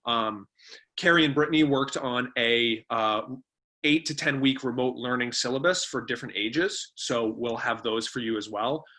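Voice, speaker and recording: A man's voice: medium pitch, normal speed, pushed and bright. A man giving an informal online presentation, explaining things in a conversational way as the webinar's presenter. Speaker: webinar presenter, company co-founder. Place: remote webinar.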